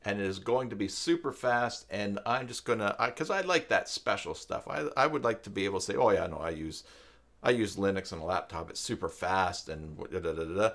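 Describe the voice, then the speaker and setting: A middle-aged man with a fairly low voice hosts a live stream in a casual, chatty way. He rambles and thinks aloud, moving between reading things out and talking directly to his viewers. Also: lively intonation, fairly quick, a bit bright. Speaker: live stream host, self-employed web tutor.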